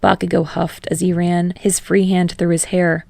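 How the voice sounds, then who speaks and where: A woman's voice: lowish voice, little pitch movement, normal speed, creaky, a little mellow, no room sound. A woman reading fiction aloud as an audiobook narrator in a scripted storytelling style.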